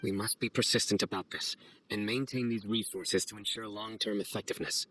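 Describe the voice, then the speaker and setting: An actor playing a stern young official in a video game, speaking in a formal, deliberate deadpan. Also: male voice, on the low side, slight background noise. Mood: formal, matter-of-fact. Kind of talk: video game cutscene voice acting. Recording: faint music behind voice.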